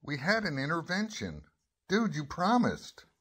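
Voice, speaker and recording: A man's voice: a little high, huge pitch swings, unhurried, fairly bright tone, breathy edge, heavily acted. A man playing an exasperated, suspicious cast member in a comic backstage skit. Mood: exasperated, accusing.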